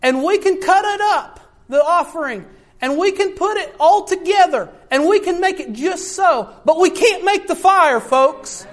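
A man preaching a sermon in a notably high, light voice. He talks earnestly and circles back on his points, often turning to the congregation to address them directly. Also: expressive, a little slow. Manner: earnest, repetitive, often addresses listeners as folks.

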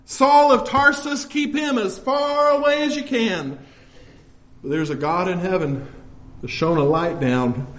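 High-pitched American preacher, emphatic and folksy. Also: male voice, measured pace, fairly bright tone. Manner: emphatic, exhortative, folksy delivery. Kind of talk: impassioned church sermon.

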